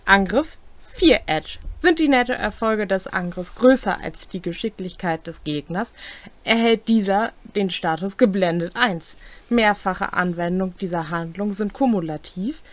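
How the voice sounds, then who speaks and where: A young adult woman, co-hosting and reading game rules aloud in a deliberate way with brief comments. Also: mid-range voice. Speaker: co-host explaining tabletop game rules. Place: small home room, indoors.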